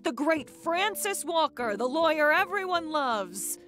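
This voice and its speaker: A bitter, boozy mother in a radio drama. She speaks slowly and sarcastically, with big swings in pitch over faint music. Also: big pitch swings, fairly bright tone, heavily acted. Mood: bitter, mocking. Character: bitter, heavy-drinking mother and wife, sarcastic.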